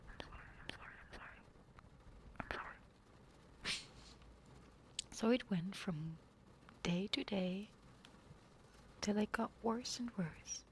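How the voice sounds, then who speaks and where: A woman reads an old fairy tale in a hushed, unvoiced whisper, with a gravelly edge. Her pitch sits in the middle range. A faint hiss of noise stays under her voice throughout. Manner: soft whisper, stops to apologize and laugh. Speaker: reader. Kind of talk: whispered storybook reading.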